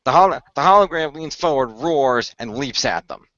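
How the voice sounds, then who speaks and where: A man narrating an improvised role-playing story as game master, explaining things in a halting way. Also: mid-range voice, animated, fairly bright tone, no echo, lo-fi sound. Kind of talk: improvised storytelling and in-character talk for an audio role-play.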